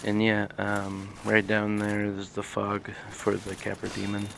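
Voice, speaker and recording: A man hosting a casual let's play, talking in a monotone and rambling with many fillers. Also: lowish voice, unhurried, gravelly, noisy background. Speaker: gaming channel host.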